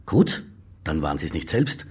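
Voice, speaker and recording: Deep, sardonic, weary police inspector. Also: male voice, sing-song, normal speed, darkish tone, husky, exaggerated voice. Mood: sardonic, accusing. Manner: sardonic, muttering, theatrical. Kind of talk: radio drama acting. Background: instrumental music.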